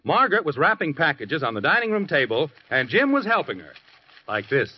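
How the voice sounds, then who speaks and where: An American actor playing a folksy storytelling narrator in a scripted 1950s radio sitcom. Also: male voice, fairly high pitch, very animated, a little fast, slightly rough. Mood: folksy, scenic. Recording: muffled 1950s radio recording.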